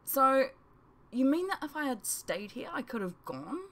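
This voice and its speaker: A woman's voice: high voice, very animated, measured pace, a bit bright, voice pushed up, exaggerated voice. Demanding academy girl, played by a female reader, indignant, sulky and emphatic, for a storybook. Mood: furious, sulky. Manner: petulant, emphatic.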